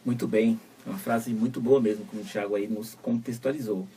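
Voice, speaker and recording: A Brazilian man co-hosting a live web radio talk show, asking framing questions in a measured, teasing way. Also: on the low side, fairly even intonation, dark tone, far from the mic, a little hiss or hum. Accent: Brazilian Portuguese. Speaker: co-host psychologist.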